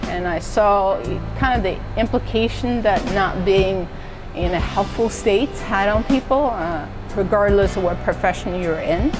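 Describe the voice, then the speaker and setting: A middle-aged woman from Norway talks through her work and her love of sports in an easygoing interview, full of "uh" and "so." Her voice sits at a middle pitch. Music plays clearly underneath her. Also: measured pace, low-quality recording. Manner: conversational, many "uh" and "so" fillers, laughs lightly. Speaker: university fitness and wellness director. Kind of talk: relaxed interview answers.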